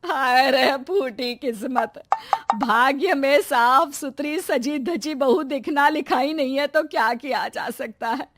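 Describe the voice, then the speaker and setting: Woman playing a dramatic, high-voiced mother-in-law. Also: takes it slowly, fairly bright tone, dry recording, clean studio sound. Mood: lamenting. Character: dramatic, fretting, bossy middle-aged mother-in-law. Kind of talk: cartoon moral-story voice acting.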